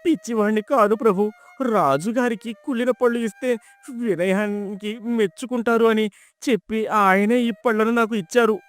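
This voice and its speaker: A man's voice: high-pitched, moderate pace, faint music behind, natural voice. A man playing a humble, timid, honest villager in a folk tale, speaking in an earnest, deferential way. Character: humble, timid, honest male villager pleading before king. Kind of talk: dramatised folk-tale acting.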